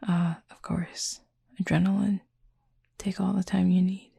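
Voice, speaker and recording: A woman acts out a courteous, softly curious cupid in an intimate audio roleplay. The voice is husky and breathy, steeped in gravelly creak, with a mellow tone. The phrasing is formal, measured and sincere. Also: on the low side, level tone, dry recording, exaggerated voice. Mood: apologetic, calm. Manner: polite, formal, measured, earnest. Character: gentle, formal, curious supernatural cupid roommate.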